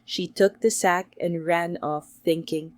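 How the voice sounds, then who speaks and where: A woman's voice: lowish voice, fairly even intonation, slow, dry recording. A woman reading a scripted bedtime story for children as its narrator.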